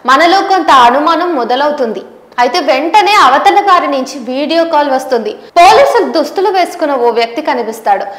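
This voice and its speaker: A woman's voice: high-pitched, lively intonation, brisk, fairly bright tone. A woman journalist, presenting a scripted news explainer directly to viewers. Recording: roomy, echoing voice recording.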